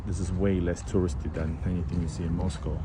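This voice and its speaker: A man's voice: low-pitched, level tone, quick pace. A man, a Russian speaker, casually chatting to viewers as he walks through city streets and a park. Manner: casual, rambling, chats with passersby and chat. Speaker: vlogger. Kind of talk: walking street vlog talking to viewers. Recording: loud street and traffic noise.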